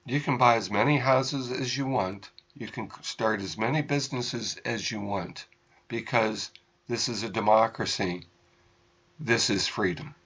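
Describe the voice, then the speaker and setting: Slow, low-voiced American man. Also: fairly bright tone, breathy edge, dull audio. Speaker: radio ministry host. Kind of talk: unscripted political commentary monologue.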